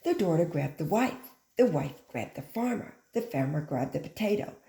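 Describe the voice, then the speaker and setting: A woman's voice: sits low, lively intonation, normal speed, vocal fry, mellow. Echoey woman reading to young children. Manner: simple, instructive phrasing aimed at young children. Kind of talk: animated children's picture book read-aloud. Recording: echoey room.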